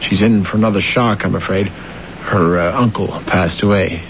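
An actor playing a self-deluded schemer of a husband, glib, evasive and boastful, in old-time radio drama style. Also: male voice, low voice, steady tone, moderate pace. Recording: muffled, noisy old radio broadcast.

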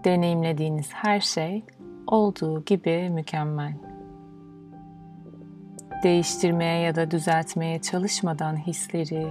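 A woman with a fairly low voice leads a guided relaxation meditation. She speaks in a soothing, measured manner, pausing between phrases, with music clearly behind her. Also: fairly even intonation, darkish tone. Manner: slow, soothing, measured delivery with pauses. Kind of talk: guided yoga nidra meditation, instructional.

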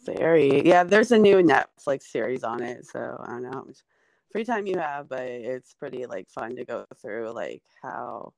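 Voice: mid-range voice, expressive, moderate pace, a little mellow, no room sound, low-quality recording. A comedian in their forties, guesting on a podcast in casual, rambling chat, full of fillers. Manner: rambling, filler-heavy, chatty.

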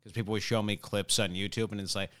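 Male podcast host, radio-ready voice, fairly low and clear, wry casual banter. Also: little pitch movement, fast talker, fairly bright tone. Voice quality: old-school radio voice. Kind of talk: casual comedic chat.

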